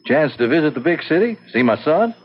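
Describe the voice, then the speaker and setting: An actor playing a hearty middle-aged war veteran and loyal buddy in a 1970s radio drama, bluff and joking with a gravelly, husky voice. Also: male voice, on the high side, sing-song, brisk. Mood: jovial, wry. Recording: dull lo-fi old radio broadcast.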